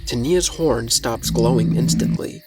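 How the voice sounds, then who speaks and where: A man's voice: medium pitch, a little slow. A man narrating a scripted fantasy story in the present tense as its narrator. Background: faint music.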